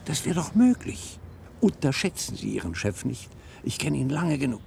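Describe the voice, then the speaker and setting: An actor playing a determined, persuasive professor held as a patient, speaking earnestly in a radio drama. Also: male voice, medium pitch, sing-song, normal speed, gravelly, a little mellow, a little hiss or hum. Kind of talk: German radio play acting.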